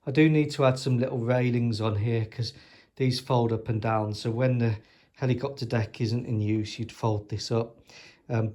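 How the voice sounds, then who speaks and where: A man's voice: medium pitch, darkish tone. A man with hay fever who sounds sniffly, casually showing off his model collection at home in a chatty, rambling way with lots of ums and dry jokes. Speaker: scale model hobbyist.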